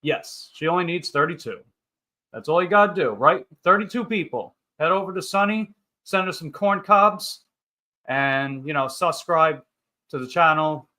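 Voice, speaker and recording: Bright-voiced thirty-year-old man chatting casually. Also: on the high side, lively intonation, normal speed. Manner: rambling, upbeat banter with catchphrases. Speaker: livestream host. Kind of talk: casual livestream chat, reading and answering viewer comments.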